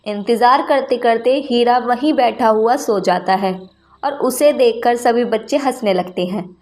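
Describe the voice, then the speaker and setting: A woman's voice: fairly high pitch, measured pace, a little mellow. A woman reading scripted narration for a children's story as the storyteller.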